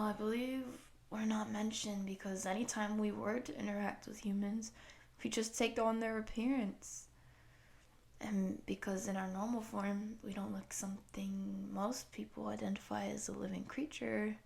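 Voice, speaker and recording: A woman's voice: mid-range voice, gravelly. A woman voicing a goofy young female slime creature who chats casually and directly to the listener in an audio roleplay. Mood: friendly, laid-back. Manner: casual slangy chatter with giggles.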